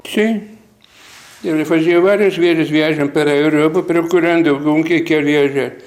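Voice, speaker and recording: An older man speaking with a Lithuanian accent, his voice sitting a little high for a man. He remembers in a halting, rambling way, breaking off and starting his sentences over. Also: steady tone, a little mellow. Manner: halting, rambling with many restarts. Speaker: missionary priest. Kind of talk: interview recollection.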